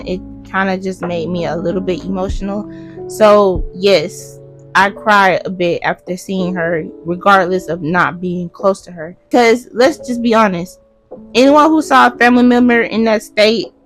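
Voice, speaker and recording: Earnest, soft-spoken woman vlogger, hoarse from losing her voice, mid-pitched, faint guitar underneath. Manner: earnest, soft-spoken, addresses viewers directly. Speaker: crochet vlogger. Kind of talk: heartfelt casual talk to viewers while crocheting. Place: her room.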